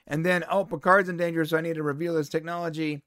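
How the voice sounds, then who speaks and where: Man, casual podcast reviewer, lively and opinionated, slightly high voice, dry close mic. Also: fast, no room sound. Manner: animated, opinionated, exasperated asides. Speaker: podcast co-host.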